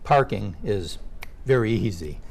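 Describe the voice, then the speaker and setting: Older male host, gravelly, conversational, steers a health chat with questions. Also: fairly low pitch, expressive, measured pace, darkish tone, slight background noise. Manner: chatty, leading questions with personal asides. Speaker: show host. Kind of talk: casual health interview.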